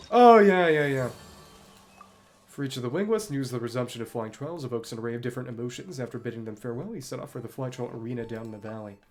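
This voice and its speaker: A man giving casual gameplay commentary as a streamer, thinking aloud and reading game text out as he plays. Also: mid-range voice. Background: game music.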